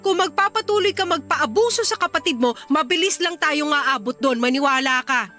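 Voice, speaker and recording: A woman playing an expressive young wife and mother in a radio drama, emphatic and full of pointed questions. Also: high-pitched, normal speed. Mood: exasperated, reproachful. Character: exasperated young wife and mother, teasing then reproachful. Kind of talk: radio drama acting in dialogue. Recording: music under the voice. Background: background music.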